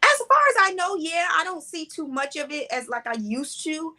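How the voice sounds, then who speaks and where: Bright-voiced woman in her forties. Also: high voice, moderate pace. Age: 40s. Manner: relaxed, reflective, conversational with fillers. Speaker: playwright and director guest. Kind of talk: casual interview answers.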